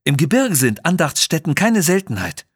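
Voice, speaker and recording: A man's voice: on the high side, big pitch swings, moderate pace, slightly rough, no room sound, clean studio sound, voice pushed up. A man voicing a learned, thoughtful doctor and explorer who speaks politely and ponderingly in an audio story.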